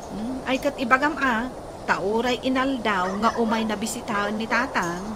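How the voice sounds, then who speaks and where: An actress with an Ilocano accent playing a bossy, teasing older sister in a radio comedy drama. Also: female voice, on the high side, moderate pace, lightly acted. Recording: loud farmyard ambience and background noise.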